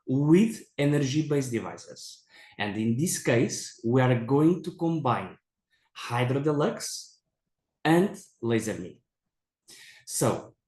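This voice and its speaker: A man with a Portuguese accent giving a medical presentation as a dermatologist, in halting, repetitive non-native English. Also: fairly high pitch, darkish tone. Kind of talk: medical conference lecture. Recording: echoey presentation room.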